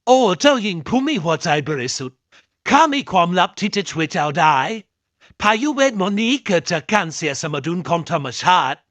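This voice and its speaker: A man's voice: high-pitched, big pitch swings, brisk, fairly bright tone, no room sound. A man voicing a wise, kindly ancient talking tree in a children's storybook, speaking in a solemn, formal way. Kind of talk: storybook voice acting.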